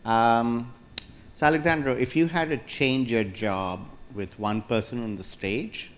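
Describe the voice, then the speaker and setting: A middle-aged man moderating a conference panel, brisk and jokey as he fires off questions on a lapel mic. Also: medium pitch, steady tone. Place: large conference hall stage.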